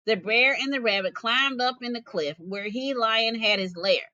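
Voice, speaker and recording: Bright-voiced woman storyteller. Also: fairly high pitch, normal speed, dry recording, dull audio. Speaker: teacher reading stories aloud. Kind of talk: expressive folk-tale storytelling.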